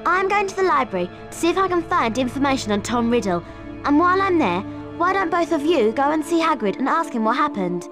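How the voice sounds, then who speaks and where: A woman's voice: high-pitched, sing-song, a little fast, over music. A woman playing a sensible, studious schoolgirl witch with a purposeful manner in a video game.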